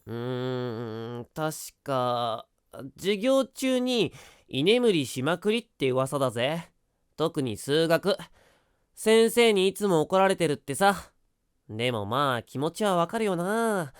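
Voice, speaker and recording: A performer playing an energetic, teasing teenage schoolboy in an anime-style voice drama, with boyish, slangy speech. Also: mid-range voice, slow pace, clear, resonant tone, no echo, studio quality. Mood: casual, easygoing. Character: energetic, upbeat teenage schoolboy, passionate dancer.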